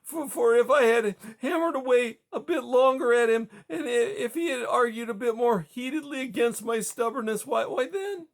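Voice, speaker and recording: A man's voice: extremely high pitch, moderate pace, gravelly, hardly any pauses, pitched above the performer's own voice. A man voicing a grieving, distraught woman who stammers through her tears. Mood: tearful. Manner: breathless, stammering, rising to hysteria. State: crying.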